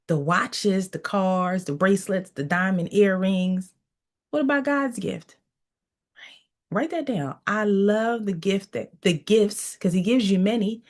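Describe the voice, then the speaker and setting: A woman's voice: medium pitch, darkish tone. A woman coaching in a motivational teaching session, preaching with repeated phrases and telling listeners to write key lines down. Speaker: mindset coach.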